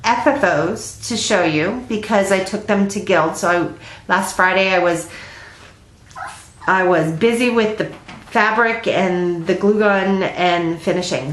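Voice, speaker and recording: A woman's voice: mid-range voice, fairly bright tone, reverberant, poor audio. A middle-aged woman with a stuffy cold, chatting at home in a rambling, casual way with lots of ums as she hosts her stitching vlog. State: congested with a cold. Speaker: flosstube host. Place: at home, indoors.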